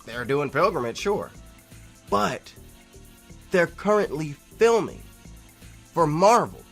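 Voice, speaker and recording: A man hosting a casual, opinionated video reply, speaking emphatically and repeating phrases for stress, moving between loud insistence and quieter asides. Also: a little high, sing-song, takes it slowly, faint music behind. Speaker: video host replying to a viewer comment. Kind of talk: casual opinionated commentary to camera.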